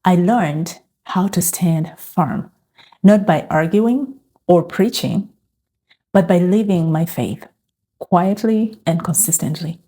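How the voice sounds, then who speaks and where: A middle-aged woman from Uganda sharing a calm, reflective personal faith testimony. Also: lowish voice, slow. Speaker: faith and lifestyle vlogger.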